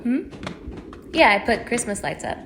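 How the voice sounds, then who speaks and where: A woman's voice: fairly high pitch, sing-song, moderate pace, noisy background. A woman acting in an intimate audio roleplay as a teasing, fake-friendly young neighbour with obsessive menace under her casual, coaxing talk. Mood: condescending, quietly menacing. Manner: casual, coaxing, teasing, with small laughs and hushed asides.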